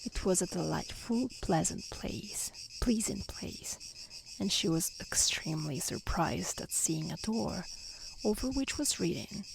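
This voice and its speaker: A woman reading a fairy tale aloud in a soft, breathy ASMR style that often slips toward a whisper, gentle and careful. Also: fairly low pitch, animated, measured pace, poor audio. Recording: faint background noise with chirping insects. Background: outdoor nature ambience, crickets.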